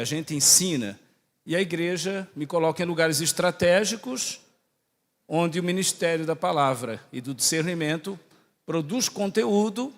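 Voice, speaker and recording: A man from southern Brazil with a Santa Catarina accent close to Portuguese, a priest giving a conversational religious talk, teaching and often putting questions to his listeners. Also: a little high. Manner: didactic, asks the audience questions and checks in often. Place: large echoing hall. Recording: echoey hall with long reverb.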